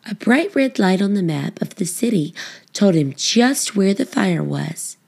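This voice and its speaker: A woman narrating a children's book, phrasing it expressively and deliberately for young listeners. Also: mid-range voice, expressive, gravelly, a little mellow. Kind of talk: scripted storybook narration.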